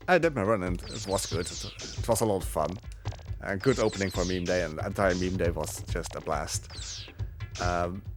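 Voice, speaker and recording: A man giving casual live speedrun commentary in a halting, rambling, amused way. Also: deep voice. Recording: game music behind the voice. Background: game music.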